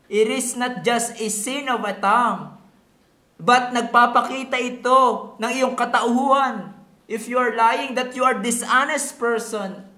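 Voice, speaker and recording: Slow-paced, high-voiced Filipino man preaching. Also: sharp tone. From Philippines. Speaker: pastor. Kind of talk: sermon mixing Tagalog and English. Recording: echoey room.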